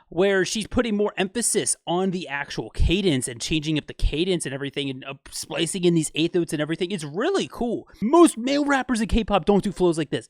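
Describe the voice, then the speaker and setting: A man breaking down music in an enthusiastic, explanatory way for a video, with fillers and quick asides. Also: high voice, no room sound, studio quality. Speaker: video commentator.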